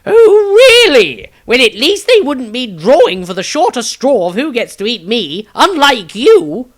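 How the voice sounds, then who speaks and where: Male narrator as fussy wizard, high-pitched, indignant, wry, formal wording, loud, fantasy audiobook. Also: huge pitch swings, a little slow, exaggerated voice.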